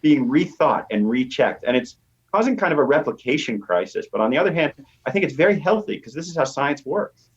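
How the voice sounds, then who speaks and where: A man's voice: mid-range voice, very animated, a little fast. A man speaking as an academic interview guest, explaining in an assured way with examples, on slightly lo-fi audio. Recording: dull, lo-fi audio.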